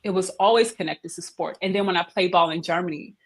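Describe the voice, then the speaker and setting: A middle-aged woman, a guest on a video-call interview, telling her career story in a lively, emphatic way. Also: fairly low pitch, fast talker. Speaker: head of operations, interview guest. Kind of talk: casual interview conversation.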